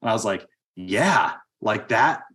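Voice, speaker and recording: A man in early middle age co-hosting a podcast, talking casually about films in a halting, rambling way. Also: lowish voice, expressive, sharp tone. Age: 30s or 40s. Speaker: podcast co-host. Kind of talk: casual film discussion.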